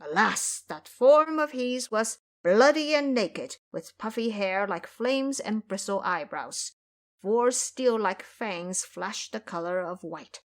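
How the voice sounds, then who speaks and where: A woman's voice: mid-range voice, animated, slow. A woman narrating a scripted fantasy tale as a dramatic storyteller.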